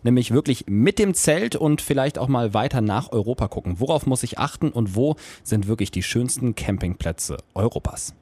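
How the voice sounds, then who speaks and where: A man hosting a chat show, asking questions in a casual, upbeat way with quick interjections. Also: medium pitch, expressive, warm tone, no echo. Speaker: show host.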